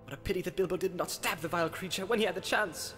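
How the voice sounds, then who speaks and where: A man's voice: high voice, very fast, a bit bright, soft background music, pitched above the performer's own voice. A man voicing an urgent, unforgiving hobbit as a remembered voice in a fantasy story. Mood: bitter.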